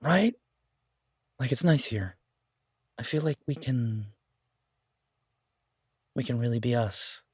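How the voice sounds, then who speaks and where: A man's voice: mid-range voice, lively intonation, takes it slowly, long pauses, a little mellow, breathy edge, no echo, studio quality. A man playing an easygoing, affectionate chef in an audio roleplay, talking in a casual, folksy, reassuring way. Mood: relaxed, affectionate. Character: easygoing down-home male chef, affectionate restaurant owner.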